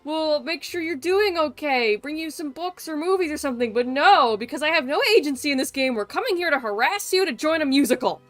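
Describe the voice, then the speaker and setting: A woman's voice: sits high, lively intonation, brisk, few pauses, a bit bright, no echo, soft background music. A woman livestreaming a game with casual, blunt, sweary and dramatic commentary. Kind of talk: casual reactive gameplay commentary.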